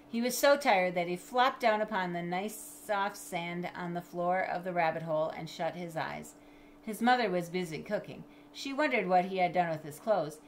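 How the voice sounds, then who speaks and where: Middle-aged female storybook reader, slightly low pitch, measured and expressive delivery aimed at small children. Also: a little hiss or hum. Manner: expressive, measured, speaking to young listeners. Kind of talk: children's read-aloud with chatty asides. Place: indoors in a home room with bookshelves.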